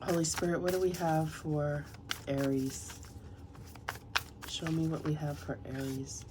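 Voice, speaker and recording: A woman's voice: deep voice, fairly even intonation, background noise. A woman reading tarot cards and reading passages aloud from a guidebook, slightly nasal from a cold. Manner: soft, unhurried, reads passages aloud. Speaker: tarot reader.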